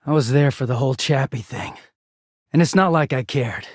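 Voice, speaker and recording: A man's voice: medium pitch, moderate pace, husky, dry recording, clean studio sound, close to an everyday voice. A man voicing a bitter, exasperated, sardonic, foul-mouthed young male living-laundry character in a video game. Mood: bitter, resentful. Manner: sardonic, foul-mouthed, swinging from muttering to shouting.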